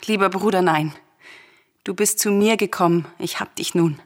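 An actress playing a grieving, defiant princess and devoted sister in a solemn, declamatory radio tragedy. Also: female voice, fairly low pitch, moderate pace, lightly acted. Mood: rapturous. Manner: declamatory, intensely emotional. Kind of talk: solemn radio drama acting of verse tragedy. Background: music.

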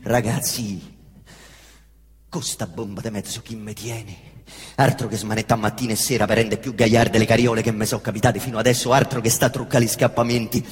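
A middle-aged man performing a theatrical monologue on stage, swinging between hushed mutters and loud excited outbursts while mimicking engine noises. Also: on the low side, brisk, big-room sound. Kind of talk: theatrical monologue in Roman dialect.

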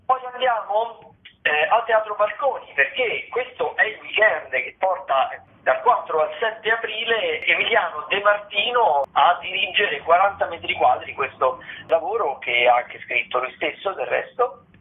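A man giving conversational commentary as a radio critic, discursive and often pausing with filler sounds. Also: sits high, animated. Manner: hesitant, discursive, with filled pauses. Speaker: theatre critic, radio guest presenting listings. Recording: tinny phone line on radio.

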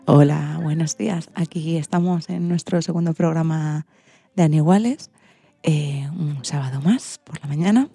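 Spanish woman from spain hosting a kids radio show, mellow gravelly voice, close dry sound. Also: low-pitched, measured pace, dry recording. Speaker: host of a children's radio programme. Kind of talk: radio presenting, partly scripted.

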